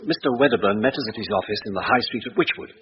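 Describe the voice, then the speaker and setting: An actor with a British accent playing a polite but rattled old-fashioned husband in a radio drama, formal and earnest. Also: male voice, on the low side, rapid-fire, lightly acted. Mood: insistent, rattled. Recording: muffled, distant, hissy radio recording.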